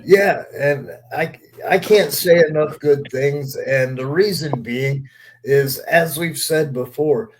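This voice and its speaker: A man's voice: a little high, slow, noisy background. A man talking on a podcast, giving opinions in an earnest, emphatic way and repeating points for weight. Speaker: wrestler and panelist.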